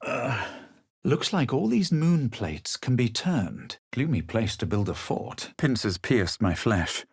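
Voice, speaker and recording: An actor playing a haughty, self-assured adventurer in a fantasy video game, with a measured, dramatic and superior delivery. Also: male voice, mid-range voice, lively intonation, gravelly, slightly rough, dry recording, studio quality, full character voice. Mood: narrating, solemn. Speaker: voice actor of a player character. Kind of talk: scripted video game character lines and narration.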